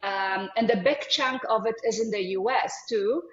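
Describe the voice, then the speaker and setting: A woman in her forties from Poland with a Polish accent, a guest in an interview, talking casually and warmly with many ums and restarts. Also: mid-range voice, normal speed, a little hiss or hum. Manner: hesitant, many ums, friendly. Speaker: guest, foundation CEO. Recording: echoey, dull lo-fi.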